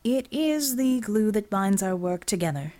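A woman's voice: medium pitch, animated, unhurried, mellow, natural voice. A woman voicing a suave, crooked male lawyer with a mellifluous, formal and assured manner of speech in an audiobook. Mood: polite.

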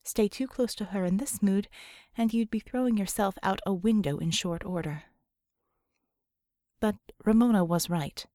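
A woman's voice: mid-range voice, normal speed, mellow, no room sound, clean studio sound. A woman narrating scripted fiction as an audiobook reader.

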